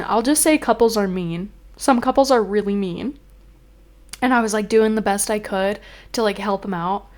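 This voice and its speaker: A woman's voice: medium pitch, a little mellow. A young woman vlogging in a chatty, rambling way, full of fillers, a little congested and sniffling. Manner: chatty, venting, exasperated. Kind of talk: casual chat.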